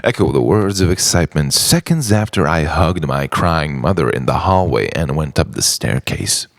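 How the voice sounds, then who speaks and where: A man with an extremely low, creaky voice performs an introspective monologue. He moves slowly through short, halting phrases, with dramatic swings in pitch. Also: expressive, no echo, clean studio sound. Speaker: narrator of own written reflection. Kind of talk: introspective spoken-word monologue.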